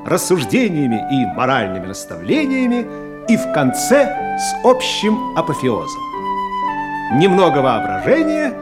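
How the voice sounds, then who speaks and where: An actor playing a lively announcer of a stage comedy, declaiming playfully in a theatrical narration. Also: male voice, on the high side. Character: lively male announcer of an old vaudeville comedy. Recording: loud music behind the voice.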